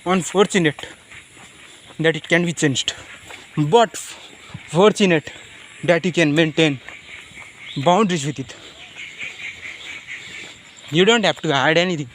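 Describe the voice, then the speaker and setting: A man with a Nepali accent speaking off the cuff in a personal monologue, halting and rambling, often repeating his phrases. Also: fairly high pitch, a bit bright, slight background noise. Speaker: vlogger.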